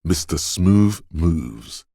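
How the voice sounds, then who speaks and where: An actor playing the coolly urging inner voice of a detective's reflexes in a video game, narrating to the listener in a deliberate, intimate, theatrical way. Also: male voice, lively intonation, dark tone, dry recording, studio quality, full character voice. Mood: admiring. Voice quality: very deep, smooth, resonant. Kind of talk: dramatic second-person game narration.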